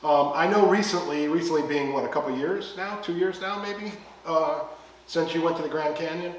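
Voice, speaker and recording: A chatty, rambling middle-aged man from the United States hosts a podcast, his voice a touch higher than most men's. He sounds distant from the mic, in a very echoey room. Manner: chatty, rambling, quick to laugh along. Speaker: podcast host. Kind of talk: casual podcast chat.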